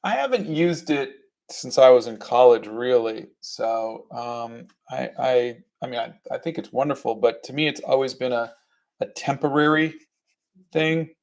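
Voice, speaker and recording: A middle-aged man hosting an art livestream, chatting in a relaxed, halting way with fillers while he paints. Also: mid-range voice, creaky. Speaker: artist and livestream host. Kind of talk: casual chat while painting.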